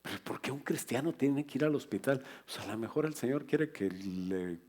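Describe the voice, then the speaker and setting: A middle-aged man, a pastor, preaching a lively sermon to his congregation in a church, with humor and asides to the listeners. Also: mid-range voice, normal speed, slightly rough.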